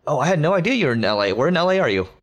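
A man's voice: a little high, sing-song, racing. A man hosting a business podcast, asking casual interview questions and often stumbling over his words. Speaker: podcast host.

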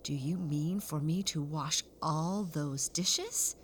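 A woman's voice: fairly high pitch, sing-song, moderate pace, fairly bright tone, a little hiss or hum, voice pushed up. A woman voicing a polite young storybook girl who speaks earnestly and anxiously. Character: young girl, polite but frightened and indignant.

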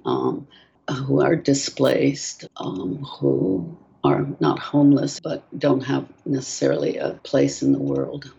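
An older woman whose first language is French, answering interview questions softly and hesitantly over a video call. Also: very deep voice, gravelly, breathy edge, dull audio. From France. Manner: soft-spoken, hesitant, many ums. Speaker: author, guest.

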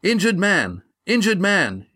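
A man voicing a quick-thinking fugitive stretcher bearer in an audiobook, urgent and decisive. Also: mid-range voice, no room sound, clean studio sound, pitched above the performer's own voice, close to an everyday voice. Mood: urgent yelling.

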